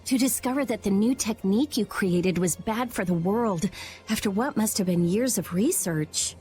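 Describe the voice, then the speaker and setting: A woman's voice: mid-range voice, moderate pace, mellow, natural voice. A woman playing a brainy, blunt, hot-tempered young researcher in a video game cutscene, music behind. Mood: analytical, pensive. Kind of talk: English game cutscene voice acting.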